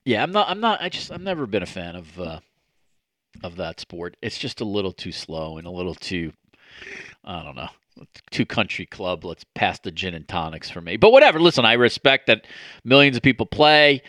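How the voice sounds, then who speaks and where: Guy hosting a sports podcast, bright tense voice, dry close sound, lots of likes and you knows. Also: lowish voice, big pitch swings, moderate pace, no echo. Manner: rambling, lots of "like" fillers. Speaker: podcast host. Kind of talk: casual sports chat.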